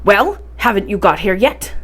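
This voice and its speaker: A woman's voice: medium pitch, expressive, moderate pace, a bit bright. An irascible, eccentric professor from a storybook, played by a woman reader. He is formidable and impatient, barking out his words as commands.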